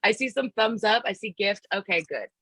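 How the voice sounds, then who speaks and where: A woman's voice: mid-range voice, lively intonation, a little fast, bright, forceful tone, husky, dry recording. A woman from Philadelphia presenting a live online workshop. She speaks in an upbeat, encouraging way, asks the audience to join in, and uses many fillers. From Philadelphia, United States. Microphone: video call microphone.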